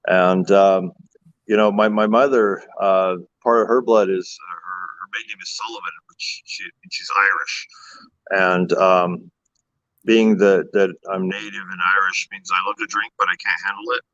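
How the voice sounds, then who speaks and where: A man from the Cherokee Nation of Oklahoma, a guest chatting casually in a halting, joking way with lots of fillers. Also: sits low, fairly even intonation, a bit bright, dry recording. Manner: halting, joking, laughs often, many fillers. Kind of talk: casual conversation. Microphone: video call. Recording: dull lo-fi video call audio.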